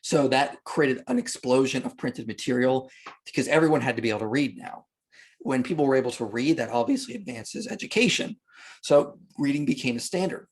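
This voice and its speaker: A man with a husky voice at a medium pitch talks as a podcast guest. He explains history and religion conversationally, leaning on repeated phrases to drive his points home. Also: animated, fairly quick.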